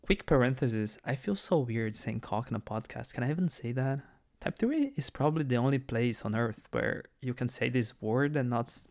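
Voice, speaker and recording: A man's voice: medium pitch, vocal fry, a bit bright. A man in his twenties from Brazil with a Brazilian Portuguese accent, a native Portuguese speaker, hosting a solo podcast in a chatty, enthusiastic way with restarts and asides. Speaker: podcast host.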